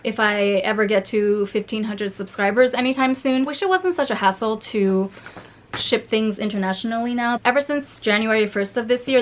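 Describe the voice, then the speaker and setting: A woman vlogging a casual beauty haul from home, chatty and rambling with many fillers and restarts, her voice slightly hoarse. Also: mid-range voice.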